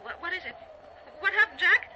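An actress playing an estranged wife in a radio play, with a dramatic delivery. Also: female voice, a little high, lively intonation, moderate pace, natural voice. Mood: frightened. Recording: dull lo-fi radio recording, background hiss.

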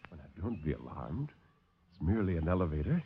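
A man playing a calm, coldly polite doctor villain in a 1940s radio drama. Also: deep voice, vocal fry, husky, pitched below the performer's own voice, heavily acted. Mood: suave menace. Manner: courteous, unhurried, coldly polite. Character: suave, calm, menacing male doctor villain. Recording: dull old radio broadcast.

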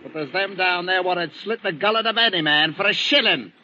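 An actor playing a coarse, sneering ship's mate, in an old radio drama. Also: male voice, high voice, brisk, sharp tone. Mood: menacing. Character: brutal cockney bosun, middle-aged man, sneering. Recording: muffled old radio recording with faint hiss.

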